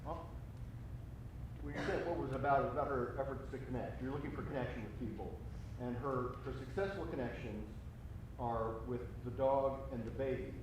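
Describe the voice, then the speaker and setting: Halting man in a very echoey room. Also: medium pitch. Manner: halting, thinking aloud. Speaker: audience member. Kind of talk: off-the-cuff discussion comment. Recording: very echoey room, distant mic, noisy.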